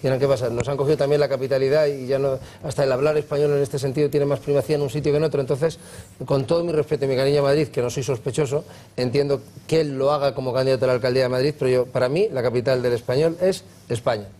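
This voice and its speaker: A man's voice: medium pitch, fairly even intonation, mellow. A middle-aged man, a panel guest from southern Spain, making his point emphatically and with repeated phrases in a TV studio debate. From Granada, Spain. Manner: fast, emphatic, repetitive phrasing. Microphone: lapel.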